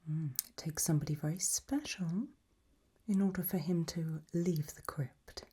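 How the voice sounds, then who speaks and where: A woman playing an elegant, macabre aristocratic lady in a whispered ASMR roleplay, breathy, languid and serenely gracious. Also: deep voice, warm tone, full character voice. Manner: slow, languid, genteel.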